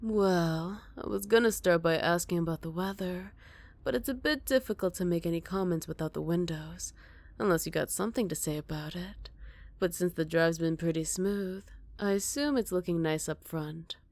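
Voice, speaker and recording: A woman playing a smug, flirty captured villain in an ASMR roleplay, teasing and coy with breathy murmurs. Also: lowish voice, normal speed, a little mellow, no room sound, lightly acted. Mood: playful, coaxing.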